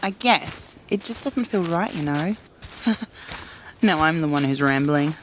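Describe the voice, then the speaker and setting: A woman playing a conscientious, gently teasing survivor in a dystopian audio drama, speaking casually and a little hesitantly. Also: deep voice, expressive, measured pace, slight background noise. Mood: uneasy, wistful. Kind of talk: naturalistic audio drama dialogue.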